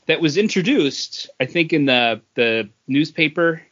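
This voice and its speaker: Guy on a podcast, normal pitch but really up and down, chatting casually, kinda dull audio. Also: animated, a little slow, fairly bright tone. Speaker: podcast host. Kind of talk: casual comics and beer chat.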